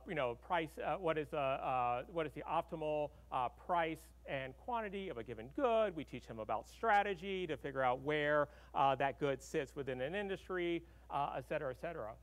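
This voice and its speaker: Keynote speaker: middle-aged man, slightly high voice, loose and chatty, podium mic in a big hall. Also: expressive, normal speed. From Arkansas. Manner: conversational, many fillers and "right?" tags. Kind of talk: conference keynote lecture. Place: large conference hall with audience.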